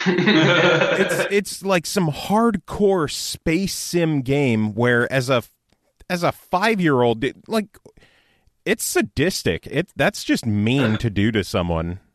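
A man in his thirties hosting a podcast, telling stories in an animated, chatty way. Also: fairly high pitch, slow pace, dry recording, studio quality. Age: 30s. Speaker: podcast host. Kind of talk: casual chat.

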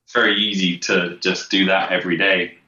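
Deep-voiced man in his twenties. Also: brisk, echoey room, dull audio. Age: 20s. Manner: relaxed, rambling, frequent fillers and restarts. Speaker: podcast guest, game developer. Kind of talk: casual chat.

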